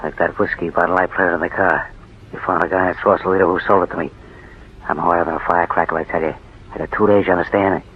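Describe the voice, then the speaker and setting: Deep, husky hard-boiled crook. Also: male voice, fairly even intonation, fast. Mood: urgent. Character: desperate, threatening male crook with a gun. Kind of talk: 1940s radio gangster acting. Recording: dull old radio recording.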